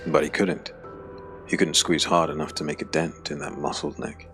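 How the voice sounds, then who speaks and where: A man narrating a dark fantasy audiobook drama in measured, weighted phrases. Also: extremely low pitch, little pitch movement. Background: background music.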